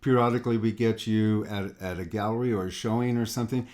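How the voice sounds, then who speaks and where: A man's voice: on the low side, fairly even intonation, fast talker, a little mellow. A man hosting a podcast interview as an art coach, talkative and often repeating words as he thinks.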